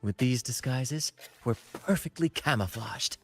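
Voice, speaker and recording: An actor playing a suave troupe performer and adventurer in a video game, with a theatrical, flowery and playful way of talking. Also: male voice, mid-range voice, measured pace, soft background music, lightly acted. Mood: showy, mischievous. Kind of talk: video game character acting.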